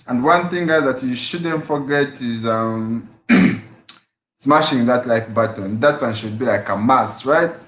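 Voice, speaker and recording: A man's voice: medium pitch, slow pace, a bit bright. A man hosting a casual fitness live stream, greeting viewers by name and repeating his phrases with energy. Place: his room at home after work. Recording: echoey room.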